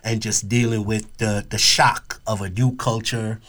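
A man's voice: lowish voice, level tone, measured pace, a bit bright. Casual man guest with slangy, repetitive delivery. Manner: slangy, repeats phrases for emphasis. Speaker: guest, filmmaker. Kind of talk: casual chat.

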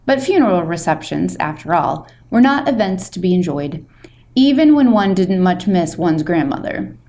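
A woman reading a book aloud as a storytelling host, with measured, expressive narration. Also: on the low side.

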